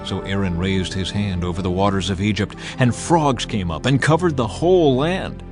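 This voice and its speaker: A man's voice: lowish voice, normal speed, music in the background. A man reading scripture aloud as a scripted audiobook narration.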